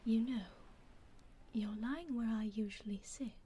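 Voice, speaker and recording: A British woman playing a centuries-old vampire lady, aloof yet caring, in a breathy, hushed whisper close to the microphone for ASMR roleplay. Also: on the high side, slight background noise, natural voice. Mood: coy, aloof. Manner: hushed, unhurried, intimate. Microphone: close binaural microphone.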